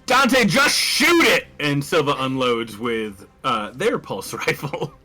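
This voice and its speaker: Bright, forceful, animated man at game table. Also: mid-range voice, slow pace, faint music behind. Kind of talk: casual game table talk.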